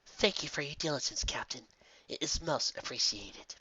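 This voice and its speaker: A narrator voicing a calm, regal female pony princess and mentor in a fantasy story, speaking in a measured, hushed way. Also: mid-range voice, whispered, lo-fi sound. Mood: grave.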